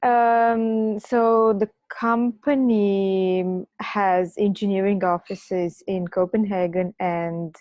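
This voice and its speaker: A woman's voice: medium pitch, fairly even intonation, takes it slowly, a little mellow, dry recording. A woman, an engineering manager joining a video call, speaking hesitantly and searching for words. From Romania. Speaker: engineering manager, webinar participant. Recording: dull, dry lo-fi video call audio.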